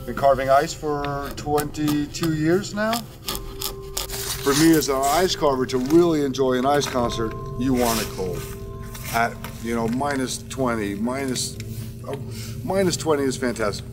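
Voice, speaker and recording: An American man, an ice carver, speaking in a relaxed documentary interview outdoors in the Arctic. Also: medium pitch, measured pace. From Connecticut, United States. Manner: relaxed, thoughtful, with many fillers. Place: outdoors in the Arctic, on a ship deck. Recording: music playing behind.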